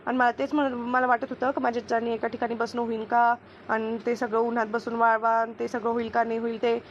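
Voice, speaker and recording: Woman voicing high, chummy village housewife. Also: level tone, fast, muffled recording, a little hiss or hum, close to an everyday voice. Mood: grateful, chatty. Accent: rural Maharashtrian. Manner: chatty, homely, familiar. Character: slower-moving village housewife Renu, grateful, chummy best friend. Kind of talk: comedy cartoon dubbing.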